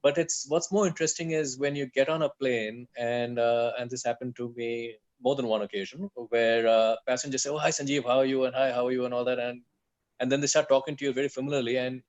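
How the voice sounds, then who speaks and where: A man's voice: medium pitch, steady tone, fast talker. A man who grew up in India, a guest on an aviation talk, telling easygoing self-deprecating stories over a video call. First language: English. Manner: chatty, self-deprecating storyteller. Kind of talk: casual conversation on a video call. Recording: dull lo-fi call audio.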